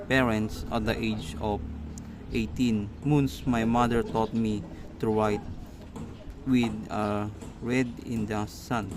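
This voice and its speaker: A man playing a self-important scholarly magistrate in an amateur radio drama, speaking formally and haltingly. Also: mid-range voice, natural voice. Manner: halting, stumbling delivery with formal wording. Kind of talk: amateur stage-style radio drama reading. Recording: noisy amateur recording with steady background noise.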